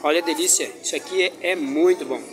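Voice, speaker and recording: A man's voice: a little high, quick pace, a crowd in the background. A man talking casually and cheerfully to his camera while walking around an indoor farmers' market, chatting with vendors and children. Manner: cheerful, chatty, religious exclamations. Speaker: travel vlogger. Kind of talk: casual vlog chat.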